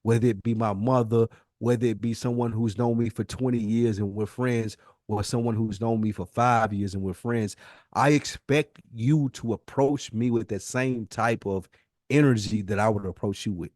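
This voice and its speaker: A man's voice: fairly low pitch, fairly even intonation, normal speed, darkish tone, dry recording, studio quality. An American man co-hosting a podcast in casual, argumentative chat, blunt and emphatic, repeating himself to press his point. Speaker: podcast co-host.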